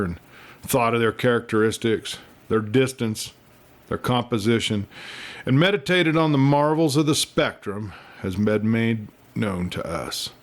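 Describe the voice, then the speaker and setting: A man's voice: medium pitch, natural voice. A man voicing a pompous but self-mocking young scholar in a dramatic storybook reading, with theatrical, bookish phrasing and folksy slips. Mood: haughty, musing. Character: young male scholar, pompous yet self-mocking, newly exuberant, writing home.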